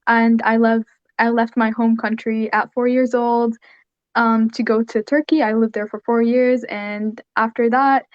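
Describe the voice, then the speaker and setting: A woman's voice: a little high, no room sound, lo-fi sound. A teenage girl from Syria, a young artist and interview guest, telling her story earnestly over a video call. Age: teens. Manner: earnest, soft-spoken storytelling with frequent "like". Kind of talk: online interview.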